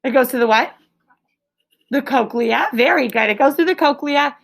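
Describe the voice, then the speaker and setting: A woman's voice: high voice, normal speed, creaky. A woman teaching a class as a schoolteacher, explaining in simple phrases and prompting pupils with leading questions in an encouraging way.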